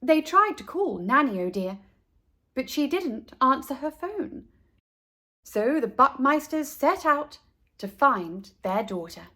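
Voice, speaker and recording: A woman reading a picture book aloud for children in an animated storytelling style. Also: high-pitched, takes it slowly. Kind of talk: animated storybook narration for kids.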